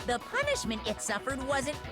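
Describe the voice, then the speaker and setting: A smug little robot bear, high-pitched and swinging wildly up and down. It lays down rulings with sly, pompous glee, like a theatrical game villain. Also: female voice, wildly swooping pitch, normal speed, loud music behind the voice. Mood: smug, playful. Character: gleefully smug robot bear mascot, mischievous judge. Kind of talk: theatrical fan-dubbed game dialogue.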